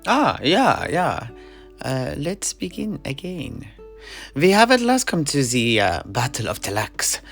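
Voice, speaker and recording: Male actor as mercenary knight, gravelly creak, lively swooping intonation, good-humoured storyteller, fantasy audio play. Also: on the high side, takes it slowly, faint music behind, exaggerated voice. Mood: storytelling. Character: worldly, good-humoured mercenary knight telling his tale.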